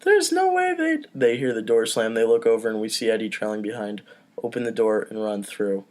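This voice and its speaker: A man's voice: fairly low pitch, level tone, a little fast, darkish tone. A man reading stage directions at a casual comedy table read, offhand and breaking off for asides. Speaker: podcast host, script narrator.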